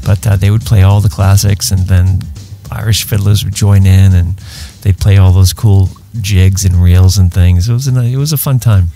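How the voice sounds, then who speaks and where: A middle-aged man co-hosting a podcast in an easygoing, casual chat, often laughing softly. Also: low voice, fairly even intonation, moderate pace, few pauses, soft background music. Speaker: podcast co-host.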